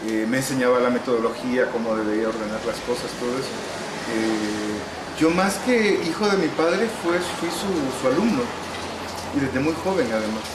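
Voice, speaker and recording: A man's voice: medium pitch, expressive, heavy background noise. Salvadoran man recalling in an echoey room. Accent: Salvadoran Spanish. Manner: unhurried, wry, frequent "eh" pauses. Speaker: novelist interviewee. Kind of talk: relaxed reminiscing interview answers.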